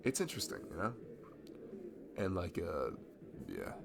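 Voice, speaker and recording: A man's voice: sits low, wildly swooping pitch, a little slow, exaggerated voice. A man playing a shy, nerdy young man in a romantic ASMR roleplay, rambling and stammering toward a longtime friend. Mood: giddy, nerdy. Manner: rambling, hesitant, stammering, nervous laughs. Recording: clearly audible background noise.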